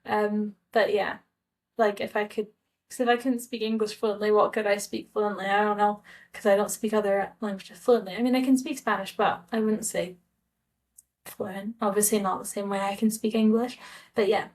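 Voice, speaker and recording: A woman's voice: mid-range voice, steady tone, moderate pace, darkish tone. A woman from Scotland with a Scottish accent, telling a personal story in a hesitant, rambling, conversational way, like a casual vlog. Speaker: travel vlogger.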